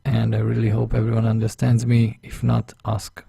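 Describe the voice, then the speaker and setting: A man's voice: lowish voice, monotone, normal speed, gravelly, warm tone, husky, dry recording. A man with a Hungarian accent, a non-native English speaker, narrating a drawing tutorial over his screen, thinking aloud step by step in a chatty, self-deprecating way. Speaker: illustration instructor.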